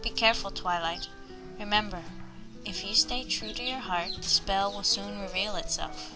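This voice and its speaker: A woman voicing a kind grown-up female pony princess in a storybook, a gracious elder-sister mentor giving gentle, solemn advice. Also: mid-range voice, moderate pace, music in the background, close to an everyday voice. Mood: solemn, cautioning.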